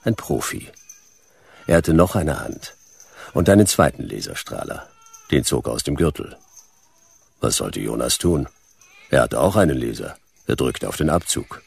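A hard-boiled detective in a noir radio play. The voice is very low, gravelly and husky, and the delivery is terse, dry and deadpan. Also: male voice, moderate pace, pauses often, exaggerated voice. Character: laconic, hard-boiled male detective narrating his case.

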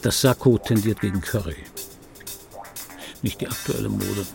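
An actor playing an ageing, wry and obsessive dubbing artist in an intimate radio drama monologue, speaking deliberately with pauses and repeated phrases in a gravelly, husky voice. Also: male voice, on the low side, over music. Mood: wry, reminiscing. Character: ageing male dubbing actor recalling his screen star, wry, obsessive. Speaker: narrator of a radio play.

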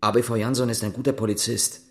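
A man's voice: medium pitch, little pitch movement, fast talker, darkish tone, breathy edge. An actor in a radio drama playing a terse, guarded, lonely East German policeman who speaks in clipped questions. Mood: guarded, insistent. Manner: clipped, probing short questions. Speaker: police officer.

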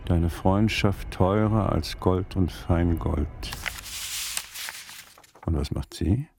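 Very deep, gravelly learned magister. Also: male voice, darkish tone, faint music behind. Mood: solemn reciting. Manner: deliberate, rhetorical, reciting. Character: learned, brooding older magister in old Italy. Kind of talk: German radio drama acting.

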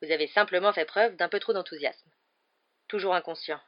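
Woman as a calm, composed man, slightly dull sound. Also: on the low side, a little fast, natural voice. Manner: peaceful, composed. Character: calm, measured, quietly firm adult man.

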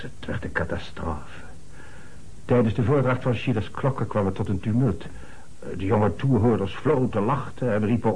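Husky, low-voiced, earnest notary. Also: male voice, a little mellow, rough recording. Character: earnest, formal male notary, middle-aged, mildly indignant. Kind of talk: radio play acting.

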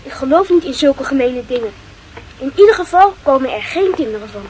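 A woman's voice: high-pitched, animated, a little fast, darkish tone, slight background noise, poor audio. A woman playing a prudish, indignant, gossipy schoolchild in a radio play, speaking emphatically. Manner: emphatic, repetitive.

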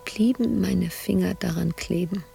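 Tormented sculptress in an asylum, radio play: dark, creaky voice, intimate, breathy, haunted monologue. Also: female voice, fairly low pitch, measured pace, soft background music. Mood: hushed, haunted. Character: anguished woman sculptor confined in an asylum, haunted, brooding.

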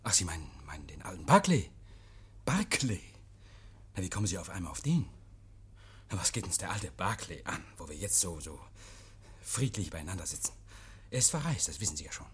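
An actor playing a nervous, guilty man in a 1950s radio play, feigning jovial innocence, stammering and repeating himself, hushed then agitated. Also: low voice, very animated, a little fast, long pauses, slightly rough, full character voice. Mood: evasive, flustered. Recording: vintage 1950s radio recording.

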